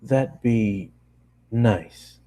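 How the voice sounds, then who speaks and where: A man's voice: lowish voice, extremely slow, dark tone. A man voicing a polite, reserved traveler who speaks in a hesitant, understated way. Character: polite, reserved male traveler, hesitant among chatty strangers.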